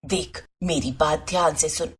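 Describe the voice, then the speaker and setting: A woman's voice: low voice, steady tone, unhurried, breathy edge, voice pushed down, full character voice. Woman as reassuring, clever aunt. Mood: pleased, scheming. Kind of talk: story character voice.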